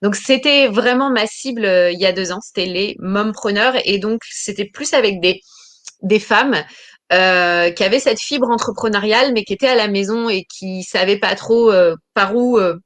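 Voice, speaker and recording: A woman in her thirties, a business coach talking about her career in an interview, pausing often with fillers and restarting phrases. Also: medium pitch, a bit bright. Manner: hesitant, many fillers and restarts. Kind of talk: interview answers.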